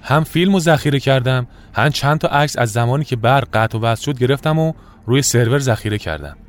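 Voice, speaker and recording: A man's voice: mid-range voice, animated, lightly acted. An actor playing a dutiful, anxious young male control-room operator, earnest and deferential. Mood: nervous, eager. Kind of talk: audio drama acting.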